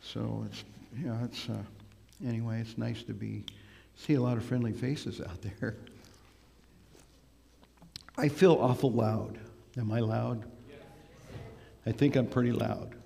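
A man with a big voice preaches at a church. He talks loosely, with many fillers and restarts. His voice has a gravelly edge, and the hall rings with long reverb. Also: mid-range voice, steady tone, measured pace, long pauses, dark tone. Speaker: guest preacher. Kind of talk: sermon. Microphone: microphone on a sound system.

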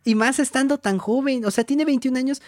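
A woman's voice: high-pitched, a little fast, crowd noise. A woman with a Mexican accent co-hosting a casual talk, making her points emphatically with repetition and rhetorical questions. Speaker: podcast co-host. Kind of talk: casual conversation.

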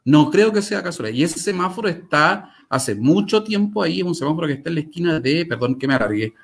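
A man's voice: on the high side, animated, quick pace, creaky, slightly rough. A man with a Chilean Spanish accent talking conversationally as an interview guest, articulate and emphatic, repeating phrases to drive points home. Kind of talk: conversational storytelling and commentary.

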